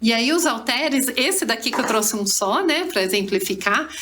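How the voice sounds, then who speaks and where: A woman's voice: fairly high pitch, expressive, normal speed, fairly bright tone. Woman explaining, with noticeable background noise. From Brazil. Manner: didactic, with frequent tag questions and diminutives. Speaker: guest speech therapist. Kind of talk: conversational explanation on a podcast.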